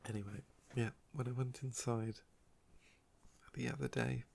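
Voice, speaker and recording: A man speaks softly at a middling pitch, with heavy creak and a croaky edge. He rambles casually in an ASMR style, unhurried and a little wistful as he tells personal stories. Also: flat delivery, slight background noise. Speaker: ASMR video creator.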